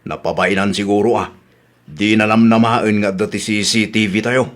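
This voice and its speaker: A man's voice: on the low side, fairly even intonation, normal speed, natural voice. A man acting an easygoing husband who questions his wife in an Ilocano radio comedy drama. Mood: questioning.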